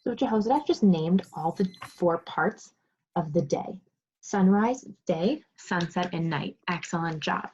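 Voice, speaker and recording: Husky woman teacher reading aloud to children. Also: fairly low pitch, unhurried, a little mellow. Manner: instructive, questioning, praising pupils. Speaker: second grade teacher. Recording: dull lo-fi sound.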